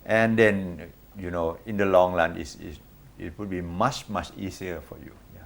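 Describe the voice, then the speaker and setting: A man with a Thai accent giving measured advice as a coach in an interview. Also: sits low, steady tone, creaky. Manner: measured, advisory, short repeated okays. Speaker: management coach guest. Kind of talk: interview answers.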